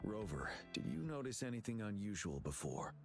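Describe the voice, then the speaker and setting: A man playing a calm, commanding young general in a video game, speaking in a measured, formal, authoritative way. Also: on the low side. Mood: grave, composed. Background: game music.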